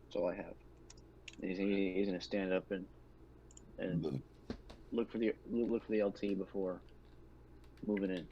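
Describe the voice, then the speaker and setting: Low male voice on dull, noisy mic. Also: steady tone, normal speed, long pauses, dark tone. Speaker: player in a tabletop roleplaying game. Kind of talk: casual game chat.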